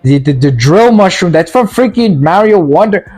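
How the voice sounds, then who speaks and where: Guy doing a rambly movie review rant, kinda high voice, exasperated, keeps tripping on words, music in the background. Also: expressive, moderate pace, a little mellow. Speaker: video game movie reviewer. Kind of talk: casual comedic rant recap of a film.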